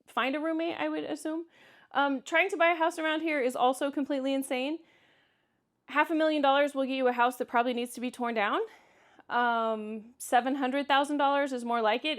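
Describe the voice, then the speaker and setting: A woman vlogger with a distinctly high voice and a Kansas accent talks casually to her viewers. She is candid and conversational, leaning on words for emphasis as she gives her opinions. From Kansas, United States. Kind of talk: casual solo vlog commentary, answering viewer comments.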